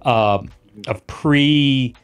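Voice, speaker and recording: A man's voice: mid-range voice, very slow, smooth. A man hosting a podcast in casual conversation, rambling with lots of restarts and repeated words. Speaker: podcast host.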